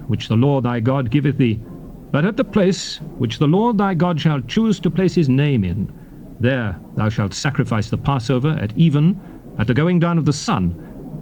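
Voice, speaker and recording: Man playing a solemn biblical lawgiver, sweepingly expressive. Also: medium pitch, close to an everyday voice. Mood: solemn, instructive. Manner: declamatory, solemn, measured emphatic phrasing. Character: solemn male biblical lawgiver addressing his people. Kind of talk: dramatized scripture reading, formal theatrical delivery. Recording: faint noisy ambience, cassette tape recording.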